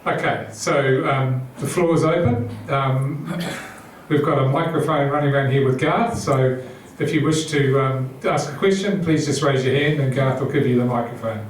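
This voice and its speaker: A man's voice: mid-range voice, normal speed, distant mic, a little hiss or hum. A man with a New Zealand accent chairing a public candidates meeting, easygoing and hesitant with many fillers. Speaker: meeting moderator. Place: chapel hall. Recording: very echoey hall, picked up from afar.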